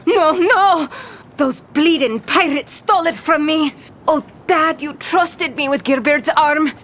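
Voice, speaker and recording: A woman's voice: high-pitched, slow, fairly bright tone, a little hiss or hum. A woman playing a bold, boastful young pirate captain in an audio drama, with theatrical, swaggering pirate talk. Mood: upset, dismayed.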